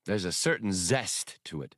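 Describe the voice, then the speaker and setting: Suave male gunslinger for space audiobook, voiced by a man. Dry wit, polished, expressive, no room sound. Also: low-pitched, a little slow, dry recording, heavily acted. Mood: droll, relishing. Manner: formal, droll, polished.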